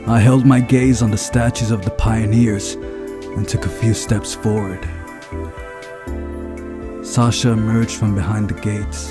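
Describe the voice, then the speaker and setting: A man narrating a visual novel aloud as he plays through it. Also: lowish voice. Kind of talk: reading a story aloud, narration.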